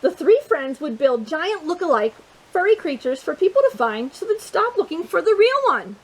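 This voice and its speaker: High-pitched woman reading a children's story. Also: normal speed, low-quality recording. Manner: expressive, asks listeners rhetorical questions. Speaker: storytime host reading a picture book to children. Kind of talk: read-aloud children's storytelling with chatty asides.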